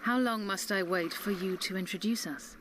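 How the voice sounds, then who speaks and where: A haughty sorceress, played by a woman, speaks at a middle pitch with imperious, wry assurance. It is game cutscene dialogue, with clear water noise behind it. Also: normal speed, natural voice. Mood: wry, teasing. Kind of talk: video game cutscene acting.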